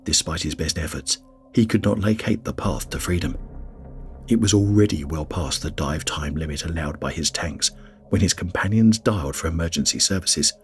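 A man reading a scripted documentary narration in a grave, measured way. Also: rumbling low. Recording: faint music bed under voice-over.

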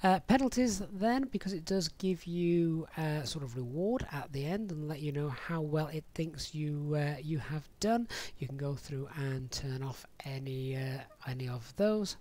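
A man's voice: fairly high pitch, expressive, a little slow, few pauses, a little mellow. A man narrating a casual tutorial in a conversational, explanatory way, with slightly hesitant phrasing. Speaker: flight simulator streamer giving a tutorial. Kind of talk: casual software tutorial voice-over.

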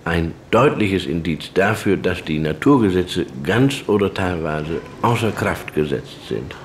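Low-voiced, deadpan scientist. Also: male voice, measured pace, slight background noise. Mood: deadpan amazement. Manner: deadpan, precise, academic. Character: dry, matter-of-fact male astrophysicist being interviewed. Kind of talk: radio comedy mock interview.

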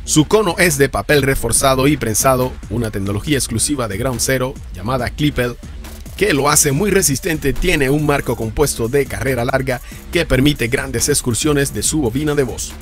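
A man's voice: medium pitch, normal speed. A man reading a scripted rundown of subwoofer specifications and prices for a car audio channel. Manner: reads spec lists and prices in an even explaining tone. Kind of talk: scripted product narration. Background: background music.

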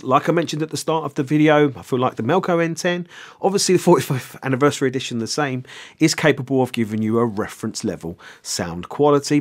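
Measured male product reviewer. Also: on the high side.

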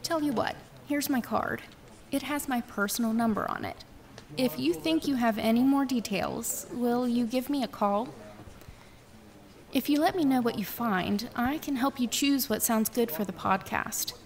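Actress as brisk, higher-voiced newspaper woman. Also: natural voice. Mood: brisk, obliging. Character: brisk, obliging female newspaper journalist. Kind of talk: audio drama dialogue.

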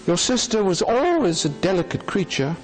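A man voicing a gentle, thoughtful spirit guide in a storybook reading, solemn and knowing, with measured delivery. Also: sits high, sing-song, faint music behind, voice pushed up, poor audio.

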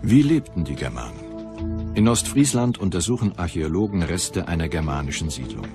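A man with a very deep voice narrates a history documentary. He is serious and measured, telling events dramatically over a clear music bed. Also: sing-song. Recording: music behind the voice.

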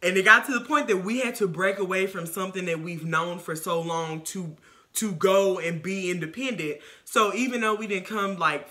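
A woman's voice: lowish voice, moderate pace, pushed and bright. An American woman in her twenties chatting casually as a vodcast co-host, making her points emphatically. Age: 20s. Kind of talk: casual chat. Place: living room couch.

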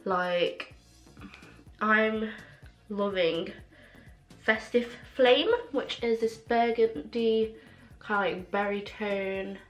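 A young woman reviewing makeup in a casual vlog, excitable and full of fillers, her voice a little rough from a cold and sore throat. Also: medium pitch, extremely slow, pauses often, lo-fi sound. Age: young adult. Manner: gushing, excitable, many fillers. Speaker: beauty vlogger. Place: small room at home, indoors. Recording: echoey room with faint music.

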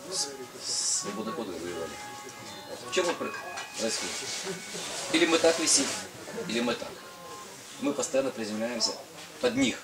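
A middle-aged man giving an explanatory safety talk as an instructor, emphatic and repeating his key points. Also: fairly low pitch. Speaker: parachute instructor. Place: indoor equipment hangar with small audience. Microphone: camera some metres away. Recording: distant mic, background group noise.

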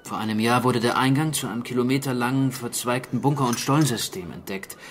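An actor playing a gruff, wry stranded archaeologist in a naturalistic radio drama, brusque and matter-of-fact. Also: male voice, medium pitch, quick pace, a little hiss or hum, close to an everyday voice. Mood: grave, explaining.

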